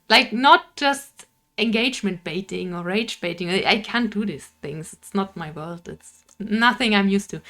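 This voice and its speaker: A woman's voice: mid-range voice, fairly bright tone. A young woman with a Bavarian German accent, an indie game developer talking casually to camera, laughing often and searching for words.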